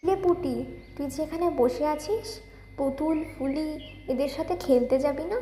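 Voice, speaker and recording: A woman playing a gentle, caring young village wife and mother in a cartoon, speaking softly and earnestly. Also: high voice, moderate pace, natural voice. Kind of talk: Bengali cartoon fairy-tale acting. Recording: roomy echo, faint ambient noise.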